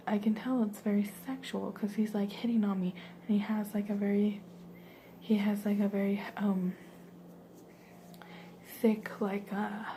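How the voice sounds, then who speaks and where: A young woman tells a story in a soft, hushed whisper, wandering off track with plenty of "like" and "um". Her voice stays flat and mellow. A faint hiss sits behind her. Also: medium pitch. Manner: soft whisper, rambling with many fillers.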